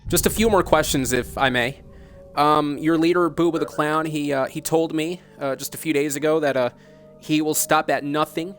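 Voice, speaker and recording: A man's voice: a little high, fairly even intonation, normal speed, fairly bright tone. Earnest, insistent male interviewer over music. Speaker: broadcaster interviewing by phone. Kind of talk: on-air interview with long challenging questions.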